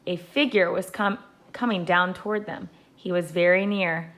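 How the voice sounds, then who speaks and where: A woman's voice: on the low side, fairly even intonation, moderate pace, a bit bright. Woman reading a children's storybook. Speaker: teacher reading aloud.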